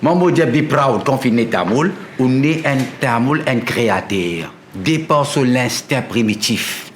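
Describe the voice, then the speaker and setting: A man's voice: mid-range voice, expressive, a little slow. A man speaks in a declamatory way, stacking up lists of phrases one after another. His voice rings in a roomy space, with a light hiss underneath.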